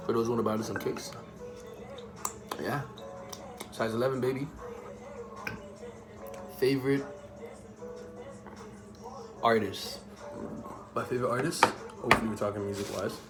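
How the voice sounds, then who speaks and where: A young man from the Bahamas chats through a vlog Q&A with a laid-back, joking manner and plenty of street slang. His voice sits at an everyday middle pitch. Music plays clearly behind him. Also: steady tone, measured pace, long pauses, low-quality recording. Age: young adult. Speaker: vlogger answering viewer questions. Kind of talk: casual slangy Q&A chat.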